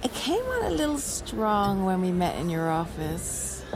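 A woman's voice: mid-range voice, huge pitch swings, unhurried, a crowd in the background. An actress playing a brash, flirty woman who teases bluntly, in a naturalistic audio drama. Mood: frank, apologetic. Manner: blunt, teasing, crude-humoured.

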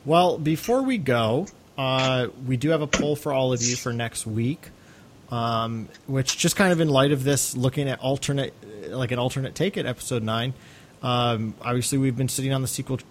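Casual man podcasting over rumbly noise. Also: normal speed. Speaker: podcast co-host.

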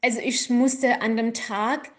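Slow, hesitant woman. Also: fairly high pitch, steady tone. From Iran. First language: Kurdish. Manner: hesitant, searching for words, frequent fillers. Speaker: interviewee, activist. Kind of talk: interview answers.

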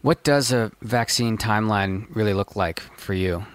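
Man, show host, casual quick questions, dry close sound. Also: lowish voice, clear, resonant tone, no room sound. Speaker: podcast host. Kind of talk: conversational interview.

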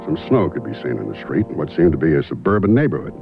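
A man's voice: rumbling low, big pitch swings, fast, vocal fry, heavily acted. A man playing a terse, probing detective who narrates his case and questions people in a 1970s radio mystery. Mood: measured, intent. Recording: muffled vintage radio broadcast, loud music behind.

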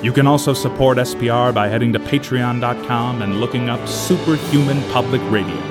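An actor playing a straight-faced public radio announcer, reading scripted announcements in an orderly, deadpan way. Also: male voice, mid-range voice, fairly quick, natural voice. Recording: loud music under the voice. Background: loud music.

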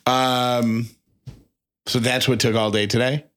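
A man's voice: medium pitch, level tone, takes it slowly, fairly bright tone, slight background noise. A man co-hosting a podcast in casual conversation, often rephrasing himself as he goes. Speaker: podcast co-host.

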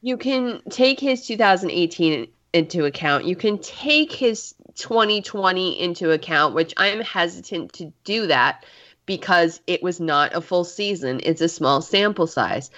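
A fairly low-voiced woman with a congested voice, chatting enthusiastically on a casual sports podcast. She often restarts her sentences. The recording sounds dull and lo-fi. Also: lively intonation, measured pace, fairly bright tone. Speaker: podcast co-host.

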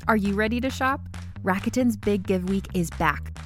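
A woman voices a retail advertisement. Her pitch is medium and faint music plays behind her scripted pitch. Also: normal speed. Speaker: advertising voice-over.

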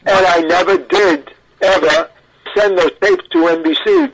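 Older American man, higher-pitched, emphatic. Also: sing-song, measured pace, gravelly, a bit bright, poor audio. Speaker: interview guest, audio archivist. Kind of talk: conversational storytelling in an interview.

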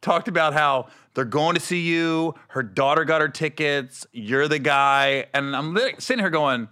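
A man's voice: on the high side, unhurried, smooth. A man, a comedian, telling stories in a casual chat and acting out the people he quotes. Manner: animated storyteller who acts out the people he quotes.

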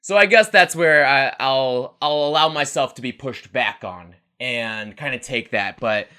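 A man's voice: mid-range voice, a little slow, bright, forceful tone, clear, resonant tone. A man in his twenties co-hosting a casual sports podcast, chatty and rambling, laughing at himself. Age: 20s. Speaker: podcast co-host.

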